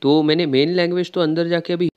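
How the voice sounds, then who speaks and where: A man's voice: on the high side, steady tone, quick pace, a little mellow, clean tone, dull audio. A man talking through a screen-recorded tutorial step by step, chatty and loose, with frequent filler sounds. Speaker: tech tutorial creator.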